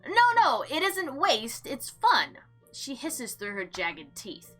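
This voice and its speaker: Bright, high-pitched, very animated woman gamer. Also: slow, faint music behind. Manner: expressive, slangy, sarcastic asides. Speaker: gaming video host. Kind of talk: animated let's play, reading game text aloud with casual commentary.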